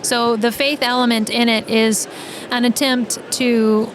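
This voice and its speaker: A woman guest on a podcast, chatting casually and telling stories in an animated manner, with quoted asides. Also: on the high side, measured pace. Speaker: podcast guest, audio drama writer. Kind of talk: casual interview chat. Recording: clear background noise.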